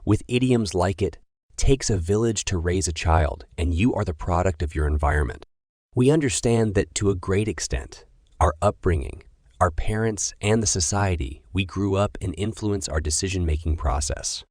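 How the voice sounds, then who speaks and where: Male narrator, low register, scripted read-aloud, close dry recording. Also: normal speed, a little mellow, dry recording. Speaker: reader of practice passages.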